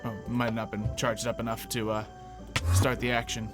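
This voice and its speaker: A man's voice: medium pitch, completely flat delivery, normal speed. A man doing gameplay commentary, thinking aloud and explaining each step with playful, exaggerated exclamations. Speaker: gaming video host. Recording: music playing under the voice. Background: background music.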